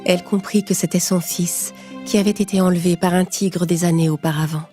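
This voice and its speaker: A woman's voice: on the low side, steady tone, brisk, dark tone. A woman storyteller reading a scripted children's tale in narration style. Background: music.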